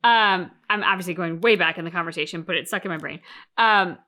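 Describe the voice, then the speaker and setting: A woman's voice: on the low side, fairly quick, bright, forceful tone. A woman co-hosting a reaction channel, talking through her thoughts casually and emphatically, with plenty of colloquial turns of phrase.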